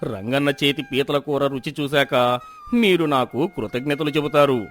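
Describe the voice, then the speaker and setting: An actor playing a proud, commanding village landlord in a moral story cartoon, with a pompous, imperious manner. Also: male voice, mid-range voice, normal speed, a bit bright, lightly acted. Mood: proud boasting. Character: proud, commanding village landlord anxious about his honour.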